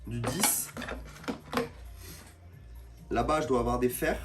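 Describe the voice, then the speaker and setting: A man's voice: mid-range voice, slow pace, soft background music. A man with a cold, tired, chatting casually and in slang to viewers on a live stream as he shows building work. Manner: informal, slangy. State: has a cold, tired, back pain. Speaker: livestreamer showing building work.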